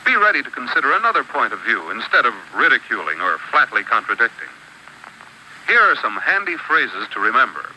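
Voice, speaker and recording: A man narrates an old classroom film with a very bright, crisp tone. His pitch moves a lot as he sets out each rule in a measured, instructive way. A faint hiss sits under the old soundtrack. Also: very animated, rough recording. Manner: measured, instructive, lists rules one by one. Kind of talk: scripted educational narration.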